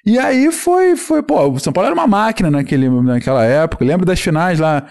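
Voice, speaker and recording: A man in his thirties with a Carioca Brazilian accent, a podcast guest talking in a casual, animated and rambling way. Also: high-pitched, fairly quick, creaky. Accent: Carioca Brazilian Portuguese. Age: 30s. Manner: animated, rambling storyteller with many restarts and fillers. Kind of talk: casual chat.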